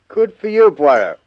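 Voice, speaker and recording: An actor playing a bluff, genial English chief inspector in a hearty mid-century radio drama style. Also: male voice, high-pitched, no echo, full character voice. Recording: dull vintage radio recording.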